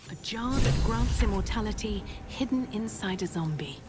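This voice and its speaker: Wry, lively-pitched adventurer voiced by a woman. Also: normal speed, heavy background noise, natural voice. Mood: intrigued, wry. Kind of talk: video game dramatic acting.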